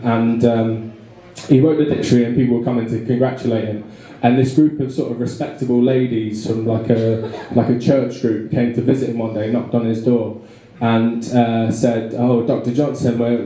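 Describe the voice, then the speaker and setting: Man, London accent, stand-up comic, young, mellow voice, rambling, very echoey pub room. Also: mid-range voice. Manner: rambling, self-deprecating, many fillers and restarts. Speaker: stand-up comedian. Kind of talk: stand-up comedy set. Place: small pub comedy room with a live audience. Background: audience laughter.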